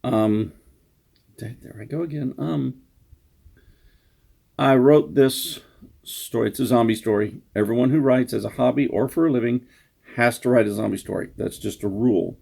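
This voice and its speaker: A man speaking casually in a room, introducing their own writing in a halting, meandering, self-deprecating way. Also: medium pitch, creaky, mellow, breathy edge. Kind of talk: casual rambling vlog intro. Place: indoor room.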